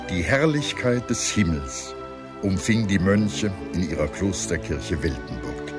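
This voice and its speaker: A man reading scripted historical narration as a documentary narrator, in a measured, formal manner. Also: deep voice. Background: music behind the narration.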